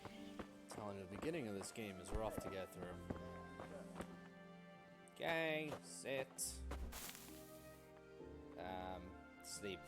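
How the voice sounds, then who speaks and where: A man's voice: lowish voice, animated, takes it slowly, pauses often. A man doing casual, sarcastic let's-play commentary over a video game. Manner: joking, sarcastic, irreverent. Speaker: gamer doing a video playthrough. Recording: loud game music behind. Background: game music and audio.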